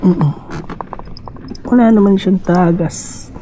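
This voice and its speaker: Filipino motovlogger chatting over clear vehicle noise. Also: medium pitch, slow pace, warm tone. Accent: Filipino, Manila area. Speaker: motovlogger testing a camera. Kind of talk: casual rambling vlog chat. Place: on a motorcycle outdoors at night. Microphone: intercom headset mic, sometimes built-in camera mic.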